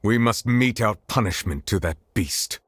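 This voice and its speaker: An actor playing a solemn, regal chief judge in a video game, speaking in a formal, grave and commanding manner. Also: male voice, lowish voice, measured pace, very noisy, lightly acted. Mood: grave, resolute. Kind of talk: dramatic video game voice acting. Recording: loud background music.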